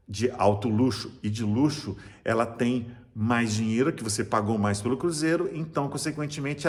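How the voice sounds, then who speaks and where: A man with a Brazilian Portuguese accent, a cruise travel agent chatting enthusiastically and in a salesy way while giving tips, often restarting his sentences. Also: medium pitch. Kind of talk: casual tips vlog to camera.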